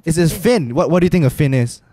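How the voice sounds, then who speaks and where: A man's voice: a little high, lively intonation, fast, mellow, dry recording, clean studio sound. Easygoing young Malaysian man. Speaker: podcast co-host. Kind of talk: casual chat. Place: podcast studio. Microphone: studio mic on boom arm, headphones.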